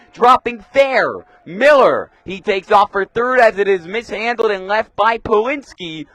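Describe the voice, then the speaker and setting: A man's voice: medium pitch, a bit bright, no echo. A young man doing live radio baseball play-by-play commentary, describing the action in short clipped phrases. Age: young adult.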